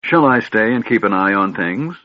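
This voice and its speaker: A man's voice: on the low side, expressive, moderate pace, voice pushed up. A man voicing a grumpy, protective household retainer with a curt, disapproving manner in an audiobook. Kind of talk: audiobook character voice. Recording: dull, slightly lo-fi recording.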